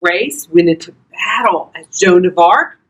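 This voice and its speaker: An older woman reading a children's storybook aloud expressively, talking directly to young listeners in a husky voice. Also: fairly low pitch, measured pace. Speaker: volunteer grandparent reading to children.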